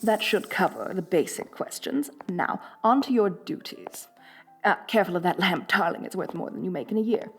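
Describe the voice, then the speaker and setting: A woman's voice: on the low side, normal speed, soft background music, lightly acted. A woman playing a rich, bossy lamia mistress in a roleplay audio drama, haughty and condescending with affected elegance. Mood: haughty, self-satisfied. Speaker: wealthy mistress addressing a new servant.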